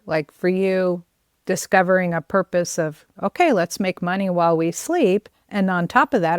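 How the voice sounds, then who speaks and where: An American woman hosts a conversational interview. Her voice sits a little low and comes across smooth and clean. The recording is dry, without audible room. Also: dry recording. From United States. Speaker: podcast host.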